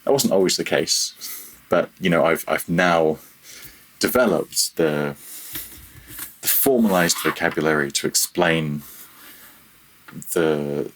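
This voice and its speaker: A man's voice: rumbling low, slow pace, pauses often, a bit bright, poor audio. A man speaking as a guest in a casual technical interview, thinking aloud and often correcting himself mid-phrase. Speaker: guest, audio programmer.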